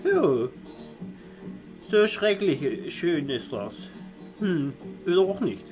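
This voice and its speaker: A man in his thirties improvising a philosophical monologue to camera in a halting, contemplative manner, recorded indoors in a small room. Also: on the high side, very animated, music in the background, rough recording. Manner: halting, contemplative, with long pauses. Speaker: vlogger musing on spirituality.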